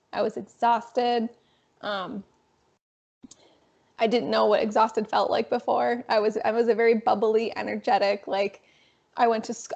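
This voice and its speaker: A young woman in her twenties with a raspy voice, a guest telling her story in a casual, animated interview. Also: fairly high pitch. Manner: animated, frequent fillers. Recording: dull lo-fi sound.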